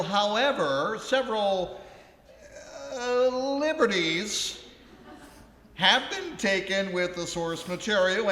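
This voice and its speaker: A man playing a theatrical 1920s actor who narrates a comic live radio play in a declamatory way with deliberate pauses. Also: high-pitched, a bit bright. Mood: grand welcoming. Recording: live in echoey ballroom, long reverb.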